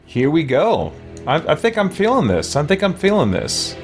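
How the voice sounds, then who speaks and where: A man's voice: a little high, huge pitch swings, moderate pace. A man giving casual, off-the-cuff gameplay commentary as a let's play host, thinking aloud as he goes. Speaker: gaming host, let's player. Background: game music.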